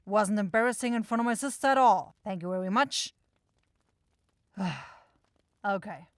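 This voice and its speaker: A woman's voice: medium pitch, normal speed, no echo, close to an everyday voice. Woman voicing a grumpy, sarcastic demon shopkeeper, dry close sound. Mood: irritated, dismissive. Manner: blunt, irritable, exasperated, swearing. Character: grumpy, sarcastic demon goat-eyed shopkeeper sister, sharp-tongued. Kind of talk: scripted ASMR fantasy roleplay.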